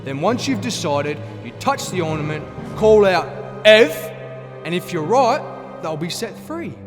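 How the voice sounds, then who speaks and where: High-pitched, gleefully cruel nome king. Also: very animated. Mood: smug taunting. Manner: mocking, theatrical, drawn-out delivery. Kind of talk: full-cast fantasy audio drama. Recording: long hall reverb, music underneath.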